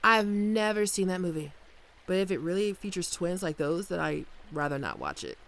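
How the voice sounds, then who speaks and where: A woman's voice: lowish voice, big pitch swings, moderate pace, fairly bright tone. Woman acting a sweet, teasing bodyguard wife. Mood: wry, uneasy. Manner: soothing, playful, storytelling. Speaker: voice actor in an audio roleplay. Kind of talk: ASMR roleplay, intimate one-sided dialogue.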